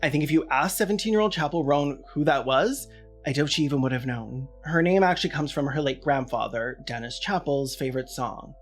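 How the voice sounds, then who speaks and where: Male video essayist, fairly high register, wry scripted commentary over faint music. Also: a little fast. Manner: sarcastic, wry asides.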